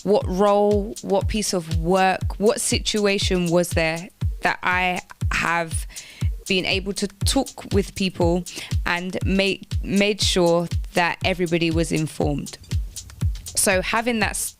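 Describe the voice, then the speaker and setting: A woman's voice: lowish voice, steady tone, slow pace, faint music behind. A woman presenting informal careers advice on the radio, explaining things step by step and repeating phrases for emphasis. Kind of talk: informal instructional talk on job applications.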